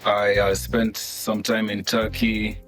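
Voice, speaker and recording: A man from Kenya, a podcast guest, answering casually in a relaxed, halting way with many fillers. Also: on the low side, faint music behind.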